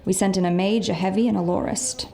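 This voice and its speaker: A woman's voice: medium pitch, fairly even intonation, fast, gravelly, dark tone, natural voice. A woman playing a candid leader of a thieving crew in an audio drama, clipped and matter-of-fact.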